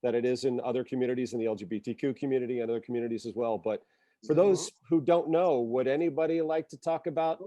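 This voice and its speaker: Mellow man reading audience questions. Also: medium pitch, quick pace, dry recording, highs cut off. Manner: hesitant, reads submitted comments aloud. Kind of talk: moderating a panel discussion.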